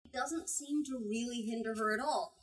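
A woman's voice: on the high side, moderate pace, a little hiss or hum. A woman in her twenties leading a book talk, reading aloud and explaining in a dramatic, emphatic way. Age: 20s. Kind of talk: expressive read-aloud and discussion. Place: small bookstore with seated group. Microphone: camera at a few metres. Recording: distant camera mic in bookstore.

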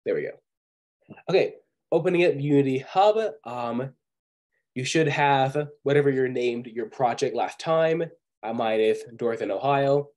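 A man teaching an online coding class over a video call, sick right now, talking in a relaxed, patient way with many pauses and ums. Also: medium pitch.

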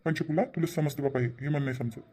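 A man's voice: on the high side, fast talker, a little mellow, slightly rough. A man voicing a sensible, hospitable cartoon grandfather with a chiding, reasoning way of talking and a Vidarbha Marathi accent. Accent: Vidarbha Marathi (Varhadi). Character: sensible, hospitable grandfather scolding his tactless wife. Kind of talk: cartoon family drama dialogue.

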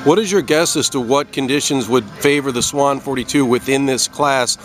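A man's voice: medium pitch, moderate pace, fairly bright tone, soft background music. A man with a mid-range voice conducts an interview outdoors. He puts his questions in a conversational style. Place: on a boat in a marina, outdoors.

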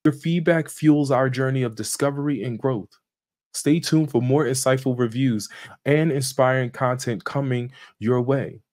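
A man's voice: medium pitch, normal speed, a little mellow. A man in his forties hosting a channel, reading a scripted sermon review from a home office with small slips and restarts. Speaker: channel host.

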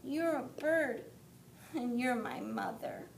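Woman doing a squeaky frightened baby bird. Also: sing-song, slow pace, fairly bright tone, exaggerated voice. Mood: relieved. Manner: high, pleading and tearful. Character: small frightened baby bird boy searching. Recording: echoey room, faint rumble.

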